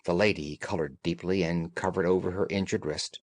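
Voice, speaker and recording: A man reading classic fiction as an audiobook narrator, in a measured, dramatic storytelling manner. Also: low-pitched, steady tone, no echo.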